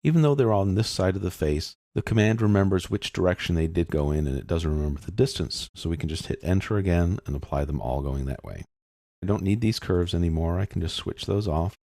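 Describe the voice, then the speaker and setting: A man calmly narrating a screen-recorded software tutorial, explaining each step as he goes. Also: low-pitched, a little fast, dry recording, clean studio sound. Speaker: software instructor.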